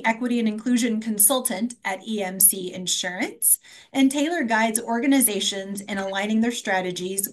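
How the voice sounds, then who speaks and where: Woman moderating a conference panel. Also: fairly high pitch. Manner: reads introductions with frequent ums.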